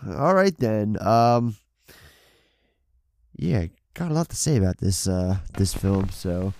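A man's voice: on the low side, big pitch swings, slow pace, creaky, darkish tone, a little hiss or hum. A man with a stuffy head cold, co-hosting a casual film podcast, rambling with many fillers and casual swearing into a desk mic. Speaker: podcast co-host. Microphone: desk mic on an arm.

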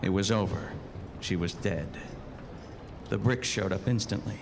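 An actor playing a world-weary private-eye type cop in a 1940s-style radio drama, terse and slangy. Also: male voice, on the low side, a little slow, creaky, background noise. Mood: tense narration. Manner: terse, slangy, hard-boiled. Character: world-weary hard-boiled male detective narrating his case. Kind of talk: 1940s noir radio narration and acting. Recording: live stage radio play under loud music.

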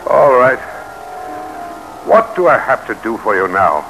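An actor playing an earnest, conflicted American statesman in old-time radio drama style, with a halting, emphatic, theatrical delivery and an American accent. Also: medium pitch, sing-song, a bit bright, faint music behind. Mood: anguished, resigned. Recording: noisy vintage radio broadcast recording.

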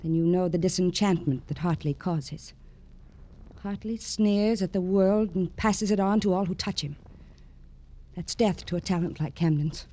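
An actress playing a cool, bitter, articulate magazine illustrator in an old radio drama. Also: female voice, on the low side, normal speed, mellow, natural voice. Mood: bitter, intense. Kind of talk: 1940s-50s radio drama acting.

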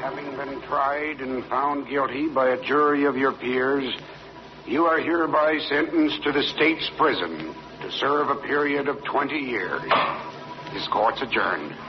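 A man's voice: mid-range voice, measured pace, over loud music, close to an everyday voice. An actor playing a stern, formal male court official in an old-time radio drama. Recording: dull old radio recording.

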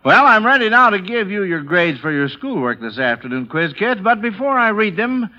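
A man's voice: high voice, very animated, normal speed, bright, forceful tone. An American man hosting a 1940s radio quiz show, speaking to children in a folksy way full of old-time exclamations, on a lo-fi vintage broadcast.

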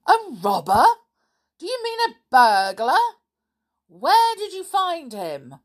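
A woman's voice: high-pitched, huge pitch swings, slow pace, pitched above the performer's own voice, heavily acted. A woman voicing a fierce, hot-tempered stepfather in a storybook, barking indignant commands. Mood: outraged.

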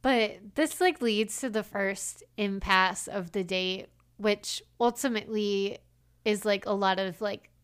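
A woman's voice: mid-range voice, fairly bright tone, smooth. A woman co-hosting a podcast, chatting casually and acting out quoted lines with lots of "like" fillers. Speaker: podcast co-host. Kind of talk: casual chat.

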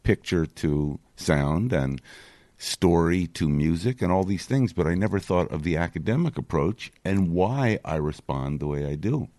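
A man with a deep voice co-hosts a podcast. He talks casually and articulately, in an appreciative manner, and the recording is dry and close. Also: lively intonation, unhurried, a little mellow, dry recording. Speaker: podcast co-host. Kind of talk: casual conversational co-hosting. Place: production studio.

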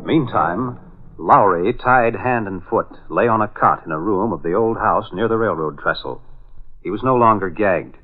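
A man's voice: lowish voice, normal speed, faint music behind, lightly acted. A man as the narrator of a 1940s radio adventure serial, reading scripted narration dramatically. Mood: dramatic. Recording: dull vintage radio, faint noise.

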